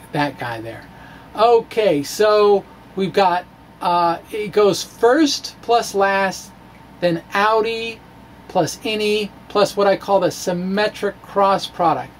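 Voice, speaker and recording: A middle-aged man talks through science as an informal video blogger. His delivery is wry and halting, with bursts of theatrical emphasis. He speaks into a microphone in a small room, with faint noise behind him. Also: high-pitched. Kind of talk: informal explanatory monologue, dramatic reading of own blog. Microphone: handheld-style microphone on stand in front of him. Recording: clear background room noise.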